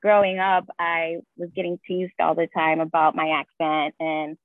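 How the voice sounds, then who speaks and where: A woman's voice: low voice, little pitch movement, moderate pace, no room sound. Woman, native Spanish speaker, casual guest talk, tinny phone-like line, dry sound. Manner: chatty, frequent fillers. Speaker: guest, ICU nurse. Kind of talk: casual conversation.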